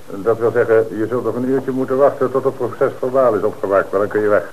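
An actor playing an authoritative police commissioner in an old radio play. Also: male voice, mid-range voice, fairly even intonation, quick pace, darkish tone, close to an everyday voice, low-quality recording. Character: middle-aged male police commissioner in charge.

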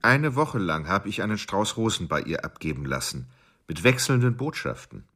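Murderous husband: low voice, very animated delivery, cold, sardonic first-person radio drama narration. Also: male voice, moderate pace, faint music behind, heavily acted. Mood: menacing, irritable. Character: cold, irritable married man with murderous urges.

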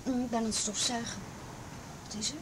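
An anxious teenage girl in a radio play, played by an actress. Her voice is fairly high and halting, repeating words in a guarded, resigned way, with clear noise behind it. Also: flat delivery, natural voice. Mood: guarded, hesitant. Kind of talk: Dutch radio play acting.

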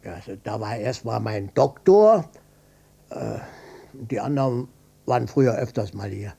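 An older man playing a stubborn, defensive widowed father in a radio drama, blunt and colloquial, with a gravelly, husky voice. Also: on the low side, expressive, a little slow, mellow, full character voice. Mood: evasive. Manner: blunt, colloquial, swinging between offhand and touchy. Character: gruff widowed old father, stubborn, defensive, matter-of-fact.

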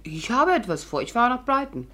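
A woman's voice: fairly high pitch, very animated, a little fast, bright, forceful tone. A woman playing a witty, sceptical, spirited young stage actress in a 1950s German radio drama. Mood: sceptical, teasing.

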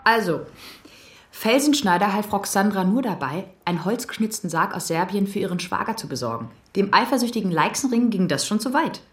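A woman's voice: mid-range voice, expressive, a little fast, echoey room, a little hiss or hum, lightly acted. A woman playing a businesslike police detective who reports to her boss in a crime radio play. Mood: matter-of-fact. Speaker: actress in a crime radio drama. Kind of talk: German radio play dialogue.

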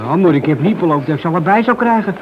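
An actor playing a wheedling, hesitant petty crook and police informer in a radio play. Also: male voice, high voice, expressive, very fast. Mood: evasive, wheedling. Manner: hesitant, evasive, servile to his handler. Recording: dull, noisy old radio drama recording.

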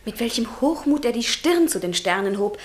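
Woman playing a high-voiced, passionate young student. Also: lively intonation, moderate pace, lightly acted. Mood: rapturous. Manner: fervent, dramatic, emphatic. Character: passionate, defiant young female student, romantic and teasing. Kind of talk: radio drama acting.

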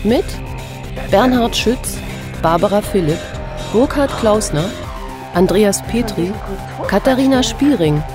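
A woman's voice: mid-range voice, animated, takes it slowly, pauses often, music in the background. A woman announcer reading scripted credits for a radio play.

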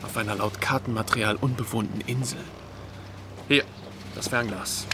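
A man's voice: on the low side, steady tone, normal speed, noisy background, close to an everyday voice. A man acting a dutiful naval officer in an audio drama, reporting formally and deferentially to his superior. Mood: formal, alert. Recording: music behind the voice. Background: music.

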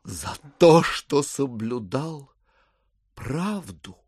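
An actor playing an ingratiating gentleman schemer who declaims with florid courtesy in a classic audio drama. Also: mid-range voice, very animated, extremely slow, dry recording, studio quality, exaggerated voice. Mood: plaintive, self-pitying. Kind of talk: theatrical Russian classic audio drama acting.

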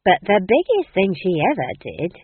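A woman's voice: medium pitch, wildly swooping pitch, moderate pace, husky, no room sound. A woman voicing a chatty, earthy, comic old witch who rambles colloquially and exclaims, in an audiobook style. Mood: reminiscing. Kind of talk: audiobook character voice. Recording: thin, phone-like band-limited audio.

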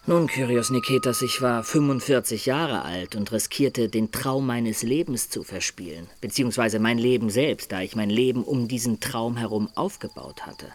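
An actor playing a middle-aged adventurer who recounts his life in measured, literary radio drama narration. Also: medium pitch, slight background noise, close to an everyday voice. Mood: reflective, calculating.

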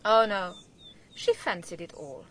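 An actress playing a gossipy, spiteful archaeologist's wife in a radio drama, insinuating and emphatic. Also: female voice, mid-range voice, sing-song, a little slow, a bit bright, slight background noise. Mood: spiteful gossip.

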